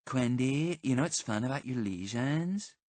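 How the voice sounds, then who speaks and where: A mean teenage girl in an audiobook novel, read by a male narrator. The pitch stays in an ordinary middle range, and the delivery is taunting and pointed. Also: expressive, measured pace, darkish tone, no room sound, natural voice.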